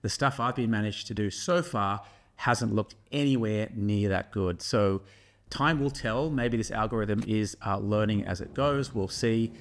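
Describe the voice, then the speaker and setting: A man's voice: lowish voice, a little slow. A middle-aged man hosting an explainer talk to camera in a studio, thoughtful and putting questions directly to viewers. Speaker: host and neuroscience professor. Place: studio in front of a brick wall.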